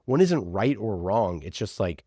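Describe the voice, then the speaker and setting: A man's voice: fairly low pitch, very animated, unhurried, warm tone, no room sound, studio quality. A man giving conversational marketing advice as an interview guest, informal, opinionated and emphatic, often voicing imagined quotes. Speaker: podcast producer, interview guest.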